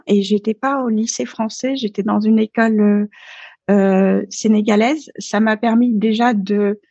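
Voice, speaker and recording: A woman's voice: medium pitch, a little mellow, no room sound, muffled recording. Hesitant woman in her thirties. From France. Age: 30s. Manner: hesitant, many fillers and restarts. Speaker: podcast guest. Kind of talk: casual interview talk.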